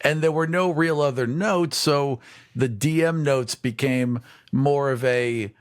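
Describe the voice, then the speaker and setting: A man's voice: medium pitch, unhurried. Podcast co-host, male, mid-range voice, playful ribbing, frequent laughter. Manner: teasing, laughs often. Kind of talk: casual podcast chat.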